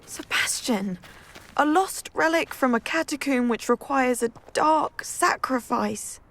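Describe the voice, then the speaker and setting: A woman's voice: high-pitched, unhurried, fairly bright tone, soft background music. A young woman voicing a reserved, weary, dutiful teenage girl in a video game. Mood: wary. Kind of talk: video game cutscene acting.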